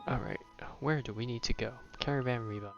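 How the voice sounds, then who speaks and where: A man's voice: medium pitch, a little fast, vocal fry, dull audio, faint music behind. A man giving casual live commentary as he streams a game.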